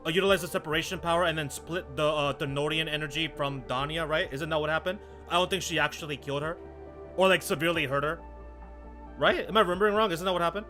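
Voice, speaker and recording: A man's voice: fairly high pitch, fast, sharp tone, faint music behind. A man hosting a live game stream with casual, slangy chat, often repeating himself as he greets viewers and comments.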